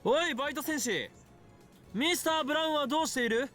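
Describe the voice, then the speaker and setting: An actor playing a theatrical young mad scientist in an anime game, declaiming pompously. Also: male voice, extremely high pitch, very fast, bright, forceful tone, soft background music. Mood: pompous, commanding. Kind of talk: Japanese anime game voice acting. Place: studio game dialogue with music behind.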